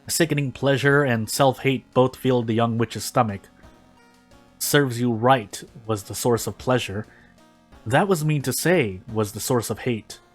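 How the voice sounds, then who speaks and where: A man's voice: mid-range voice, normal speed, faint music behind. A man reading a fan fiction story aloud in a dramatic narrating style.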